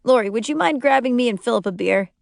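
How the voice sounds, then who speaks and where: A woman's voice: a little high, lively intonation, fast, gravelly, no room sound, voice pushed up. A woman voicing an easygoing young father in an audiobook, with a playful, teasing manner. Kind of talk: audiobook character dialogue.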